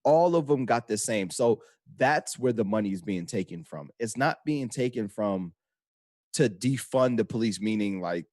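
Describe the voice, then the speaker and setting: A man's voice: fairly low pitch, animated, normal speed, darkish tone, dry recording, clean studio sound. A Trinidad-born man hosting a casual talk show, loose and talkative with slang. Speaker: host. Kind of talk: casual radio chat.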